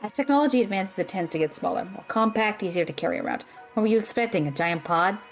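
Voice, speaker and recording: Lively, sardonic young female student mage acted by a woman. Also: mid-range voice, fast, soft background music, natural voice. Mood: dry, practical. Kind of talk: fantasy comedy audio drama acting.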